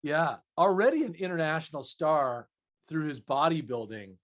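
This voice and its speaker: A man's voice: on the high side, animated, normal speed, fairly bright tone. A man hosting a conversational interview in an effusive, affirming, wordy manner. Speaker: interviewer, host.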